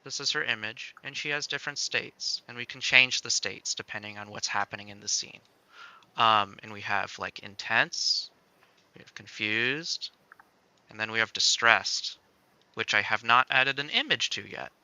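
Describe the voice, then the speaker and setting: Guy demoing game dev software, super bright clean voice, slow-ish, thinking out loud step by step. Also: lowish voice, no room sound. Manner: explains step by step, thinking aloud. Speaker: game developer demonstrating a tool. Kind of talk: casual software demo with joking chat.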